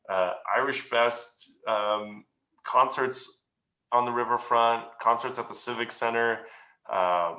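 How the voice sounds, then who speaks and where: A man's voice: mid-range voice, sharp tone. Earnest, reflective man in an echoey room. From United States. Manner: reflective, earnest, halting with many pauses. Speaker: guest speaker. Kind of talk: answering questions at a meeting. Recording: roomy echo.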